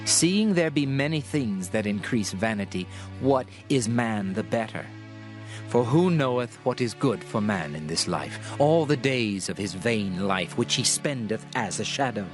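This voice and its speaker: A man giving a dramatized reading of King James scripture in a solemn, measured, deliberate way. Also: fairly low pitch, lively intonation. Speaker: scripture narrator. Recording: music behind the voice. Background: music.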